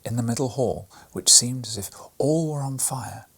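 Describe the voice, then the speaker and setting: A man reading a classic children's story aloud as a bedtime audiobook, narrating softly and often in a breathy, whispered way. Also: medium pitch, moderate pace, slight background noise.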